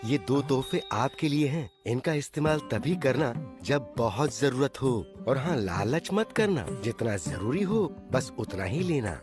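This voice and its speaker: Man doing a polite cartoon traveler, courteous, mid-pitched, music behind. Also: expressive, a little slow, hardly any pauses, lightly acted. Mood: grateful. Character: polite lost male traveler, magical.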